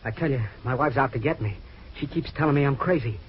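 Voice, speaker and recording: An actor playing a nervous, paranoid husband who pleads anxiously and urgently, in an old-time radio drama. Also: male voice, medium pitch, fast, close to an everyday voice. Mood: desperate, pleading. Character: nervous, paranoid husband begging a detective for help. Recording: dull, noisy vintage radio recording.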